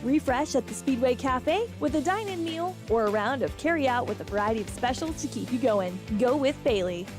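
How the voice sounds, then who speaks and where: A woman's voice: sits high, expressive, moderate pace, hardly any pauses, music in the background. A woman announcer reading scripted radio ads in a polished promo style.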